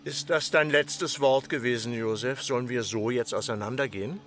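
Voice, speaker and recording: An actor playing a stern, threatening villager who insistently admonishes and demands order, in a literary radio drama. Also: male voice, medium pitch, moderate pace, a bit bright, a little hiss or hum, natural voice.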